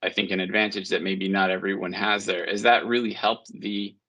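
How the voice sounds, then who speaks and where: A man with a low, gravelly voice interviews a colleague. He asks friendly questions and affirms her answers, pausing often on uh. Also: flat delivery, sharp tone. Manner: affirming, with frequent "uh" pauses.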